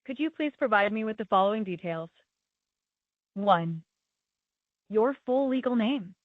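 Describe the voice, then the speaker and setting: A woman's voice: mid-range voice, expressive, a little slow, no echo. A woman's synthetic voice working as a polite, procedural automated customer service agent, speaking scripted prompts. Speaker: automated claims phone agent. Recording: narrow tinny phone-like sound.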